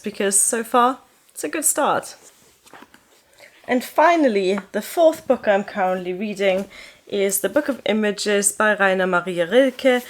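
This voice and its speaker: Young woman, german first language, chatting loose and rambly about books from her sofa, lots of ums, mid pitch. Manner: relaxed, rambling, with frequent ums and uhs. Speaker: book vlogger. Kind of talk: casual chat to camera. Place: living room, seated on a sofa indoors.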